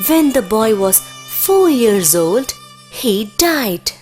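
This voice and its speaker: A female narrator reads a children's story very slowly. Her voice is fairly high and swings up and down, with clear music underneath. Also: big pitch swings, a little mellow.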